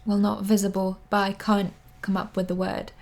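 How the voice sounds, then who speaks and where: A woman around thirty, not a native English speaker, giving earnest advice to camera, hesitant with many pauses and restarts. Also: medium pitch, little pitch movement, gravelly, darkish tone. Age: 30s. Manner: earnest, repeats words for emphasis. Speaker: vlogger. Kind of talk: casual advice talk to camera. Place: living in London.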